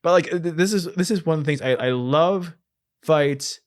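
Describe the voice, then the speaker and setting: A man with a slightly high voice chats casually as a film podcast co-host. He explains things at length in a comedic way, repeating himself and swearing now and then. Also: moderate pace, clean tone.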